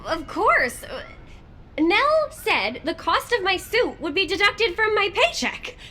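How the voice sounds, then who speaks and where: An actress playing a young, naive and cheeky female soldier in video game dialogue, talking in an expressive, informal, girlish way. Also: extremely high pitch, takes it slowly, heavily acted. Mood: puzzled.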